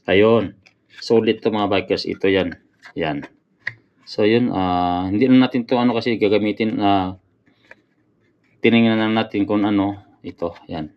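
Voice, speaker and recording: A man's voice: fairly low pitch, a little slow, long pauses, highs cut off. A man giving casual unboxing commentary as a motorcycle vlogger, often hesitating to find words and addressing his viewers.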